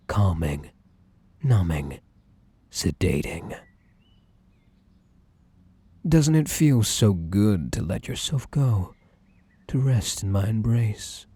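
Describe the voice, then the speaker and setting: A man with a British accent playing a possessive, obsessive, sweetly menacing bunny man in an ASMR roleplay, coaxing and theatrical, with a breathy voice that slips into whispers. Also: fairly low pitch, warm tone, no room sound, exaggerated voice. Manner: theatrical, coaxing, drawn-out polite endearments.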